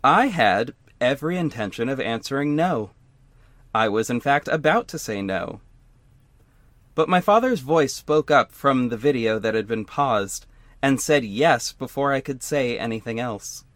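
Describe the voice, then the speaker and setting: A man's voice: mid-range voice, a bit bright, no echo. A man playing an earnest young hero who narrates his own story in a conversational, scripted audio drama style. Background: music.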